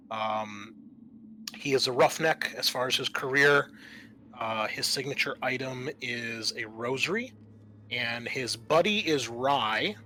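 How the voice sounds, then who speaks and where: Male game master, medium pitch, bright tone, halting explanatory narration, faint background noise. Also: low-quality recording. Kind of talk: narrating a tabletop roleplaying game.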